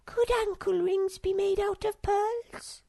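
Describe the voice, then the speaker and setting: Woman putting on a sweet vain little snork girl, way up high, coaxing, dry close sound. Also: fairly even intonation, takes it slowly, creaky, dark tone, no room sound, exaggerated voice. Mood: eager. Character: vain sweet young female Snork Maiden. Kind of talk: audiobook character voice.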